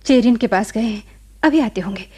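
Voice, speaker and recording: An actress playing a devoted, anxious wife in a Hindi radio drama, with a breathy voice. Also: female voice, a little high, lively intonation, a little fast, mellow. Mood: hushed, anxious. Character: devoted, anxious wife fearing for her husband.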